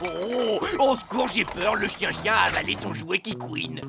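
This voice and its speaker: A man voicing a mocking slacker raccoon from a gang in a French children's cartoon, gravelly and slangy. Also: high-pitched, measured pace, loud music behind the voice, exaggerated voice. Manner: teasing, slangy.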